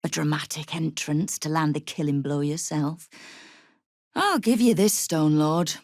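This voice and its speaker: A woman's voice: deep voice, unhurried, darkish tone, no room sound. An actress playing a tough, sardonic woman who runs a city thieves' guild in a fantasy video game, with a dry, commanding manner. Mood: grim, defiant. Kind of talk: video game character acting.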